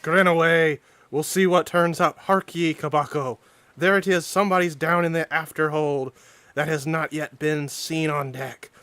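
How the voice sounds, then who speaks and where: A nervous sailor , as a man reads him presses his point again and again in a fairly high, urgent voice. The recording is dry and close. He sounds sure of himself and won't be waved off. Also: steady tone, moderate pace, a bit bright, smooth, no room sound, lightly acted. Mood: insistent. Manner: urgent, insistent whispering tone. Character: jumpy male sailor with sharp ears.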